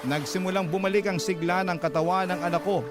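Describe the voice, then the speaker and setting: A man's voice: sits high, fairly even intonation, fast, natural voice. A man narrating a radio drama as a devoted parent, earnest and sorrowful. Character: devoted parent recounting a sick child's struggle. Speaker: narrator of a letter-based drama. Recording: music bed under narration.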